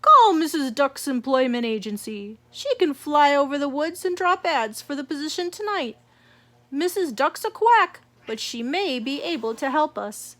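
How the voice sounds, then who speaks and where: A woman's voice: high-pitched, very animated, normal speed, a bit bright. A woman voicing a commanding male chief Easter Bunny with an authoritative manner in a storybook.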